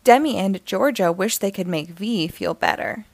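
A woman narrating a children's picture book aloud in an expressive, deliberate way. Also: medium pitch, vocal fry, dry recording. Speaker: storyteller reading picture books aloud.

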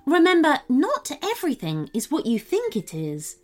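A woman voicing a calm, wise female unicorn in a children's storybook, speaking patiently and with gentle challenge. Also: sits high, normal speed, voice pushed up. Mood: gently questioning.

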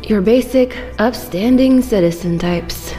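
An actress playing a guarded, streetwise club bartender in an audio drama, with a wary, dry delivery. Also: female voice, fairly high pitch, measured pace, warm tone, long reverb tail, faint music behind, natural voice. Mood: guarded, knowing. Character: guarded, streetwise young female club bartender, worried and defiant.

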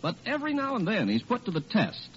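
A man announcing in 1940s radio style, delivering scripted sales pitch and narration with emphatic diction. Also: wildly swooping pitch, fast talker, a bit bright, a little hiss or hum. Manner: emphatic, spells out words. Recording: muffled old radio recording with music.

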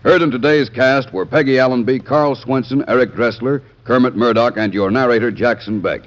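A man's voice: medium pitch, fairly quick. An American man reading programme credits in a scripted vintage radio announcer style. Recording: noisy, dull old radio recording, crowd sound.

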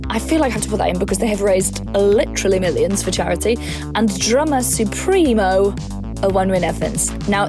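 A woman, hosting a podcast and reading a chatty, self-mocking introduction with little laughs. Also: medium pitch. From North Wales. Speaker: podcast host.

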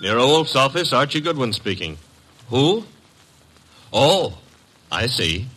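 Wry, bright-voiced detective's sidekick. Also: male voice, mid-range voice, big pitch swings, takes it slowly, slightly rough, full character voice. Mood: wry teasing. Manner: wry, snappy. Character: wry, brisk male detective's assistant and narrator. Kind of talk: 1950s radio drama acting and noir narration.

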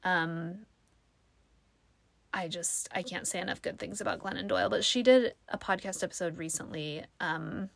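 A woman's voice: fairly low pitch, normal speed, gravelly, a little hiss or hum. A young woman hosting her own podcast, talking casually with a soothing voice and many fillers. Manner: chatty, self-deprecating, lots of fillers. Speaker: podcast host. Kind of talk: casual solo podcast chat.

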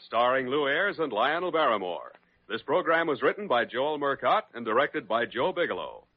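A man's voice: a little high, breathy edge, no echo. An American man reading scripted announcements as a formal, polished announcer on a vintage radio drama. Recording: dull vintage radio broadcast. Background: faint music.

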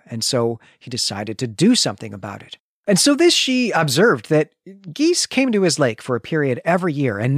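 Man, podcast co-host, storytelling recap, animated delivery, dry close sound. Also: medium pitch, no room sound. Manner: lively storytelling summary. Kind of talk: book-club podcast recap.

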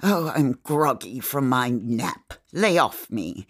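A woman's voice: very deep voice, big pitch swings, slow pace, breathy edge, exaggerated voice. An actress playing a grumpy, sardonic old lady who tells a theatrical story in a comedy audio drama.

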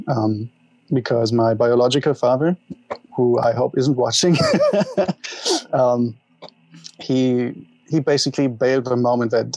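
A young man from Germany with a German accent, talking openly and haltingly as an interview guest. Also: mid-range voice, creaky, darkish tone, no echo, poor audio. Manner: open, halting, laughs at himself. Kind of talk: candid personal interview.

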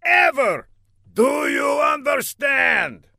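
A man's voice: very high-pitched, big pitch swings, very slow, vocal fry, sharp tone, no echo, raised voice, clean studio sound, voice pushed up, exaggerated voice. A man voicing a pompous, hot-tempered villain boss who barks threats in an imperious, exasperated way in a comic audiobook. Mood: furious, menacing.

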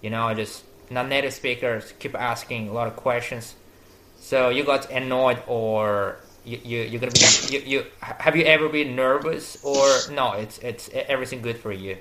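A man whose first language is close to Turkish speaks English hesitantly, with frequent fillers and restarts. He has a mid-pitched voice and asks questions in a casual, searching way. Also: normal speed, bright, forceful tone, a little hiss or hum. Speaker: English learner asking questions. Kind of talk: casual conversation.

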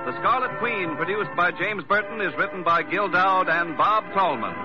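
A man's voice: high-pitched, expressive, moderate pace. An American man announcing from a script in formal 1940s radio style. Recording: muffled vintage radio over loud music.

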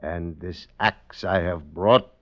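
An actor playing a brooding, murderous husband in a radio drama, speaking in a terse, grave, deliberate way. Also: male voice, low-pitched, very noisy. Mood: grim, bargaining. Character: brooding, murderous husband plotting against his in-laws. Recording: dull lo-fi radio recording.

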